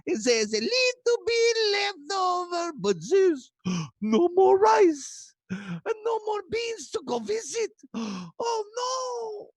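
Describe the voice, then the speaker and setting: A woman voicing a boisterous, theatrical puppet who presents a children's show with a gravelly, exclamatory delivery. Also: very high voice, sing-song, takes it slowly, no echo, full character voice. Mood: exuberant, teacherly. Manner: exclamatory, drops in foreign words, chuckles.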